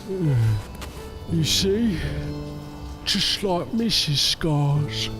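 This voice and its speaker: A lumbering, kind-hearted simpleton, talks at a crawl with music underneath. He stammers timidly in broken, childlike phrases, like a gentle giant in a radio play. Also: a little high. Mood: earnest, protective. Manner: simple broken third-person speech, halting, stammering. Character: big, gentle, slow-witted man, childlike and timid. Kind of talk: audio drama acting.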